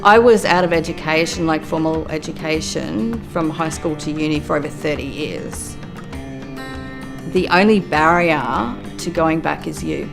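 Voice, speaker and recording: Middle-aged aussie woman, earnest personal story, low gravelly voice, music underneath. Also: unhurried. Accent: Australian. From Australia. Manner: earnest, reflective, steady. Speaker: youth worker and law graduate. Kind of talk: personal testimony for a documentary. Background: soft music.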